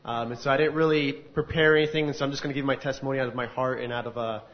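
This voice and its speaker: A man of ordinary pitch gives a personal testimony to an audience in a large room. He tells his story earnestly and with animated energy. The recording has a dull, lo-fi sound. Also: rapid-fire. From United States. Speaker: speaker giving a testimony. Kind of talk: personal testimony at a faith gathering.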